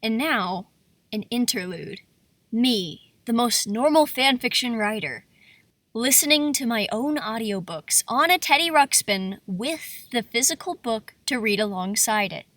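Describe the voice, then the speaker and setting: A woman narrating a craft tutorial in a playful, animated, self-deprecating way, like a video creator talking to viewers. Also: a little high, measured pace, no echo. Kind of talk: scripted craft tutorial voice-over with personal asides. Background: background music.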